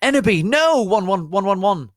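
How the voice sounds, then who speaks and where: Guy doing a melodramatic teen wizard boy, way high pitched, screamy and pleading, storybook reading. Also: big pitch swings, normal speed, no room sound, clean studio sound. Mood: desperate screaming. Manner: shrieking, melodramatic.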